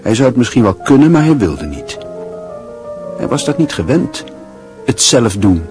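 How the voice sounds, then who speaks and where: An actor playing a dry, wry literary narrator in a radio play, with a husky voice. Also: male voice, medium pitch, very animated, normal speed, darkish tone, faint music behind, natural voice. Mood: wry, reflective.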